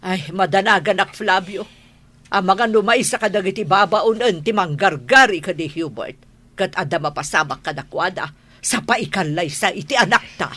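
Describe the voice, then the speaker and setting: A woman's voice: medium pitch, moderate pace, lightly acted. A woman with a Filipino Ilocano accent playing a haughty, scolding, fretful mistress of the house in a comedy radio drama. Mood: worried, indignant. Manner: sharp, scolding, nagging.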